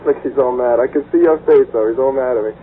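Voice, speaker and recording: Man, caller, halting informal talk, needling, tinny telephone sound, slight hiss. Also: medium pitch. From New York. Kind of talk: casual phone chat. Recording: tinny phone line on noisy tape.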